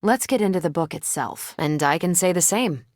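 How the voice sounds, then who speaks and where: A woman's voice: fairly low pitch, lively intonation, normal speed, a little mellow, dry recording, studio quality, lightly acted. A woman voicing a confident, quippy adult female superhero soldier in a video game, with a casual, dryly joking, assured manner. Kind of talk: video game character dialogue.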